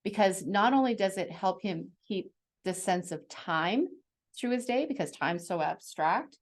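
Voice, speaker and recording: A middle-aged woman explaining things conversationally as a co-host, in a measured, practical way. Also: mid-range voice, expressive. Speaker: parent sharing parenting strategies as co-host.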